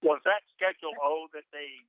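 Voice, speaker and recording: A man with a bright, fairly high voice takes part in a telephone meeting. He puts informal questions to the speaker. His voice is clear, with little room sound. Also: fairly even intonation, normal speed, no room sound, muffled recording. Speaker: meeting participant asking questions. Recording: telephone conference call audio.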